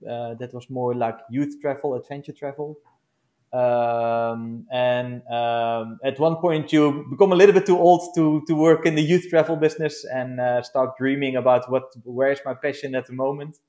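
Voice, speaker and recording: A man with a Dutch accent, a glamping business founder answering interview questions in a casual, hesitant way, often pausing to find words. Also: medium pitch, fairly even intonation, warm tone. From Netherlands. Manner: hesitant, searching for words with many pauses.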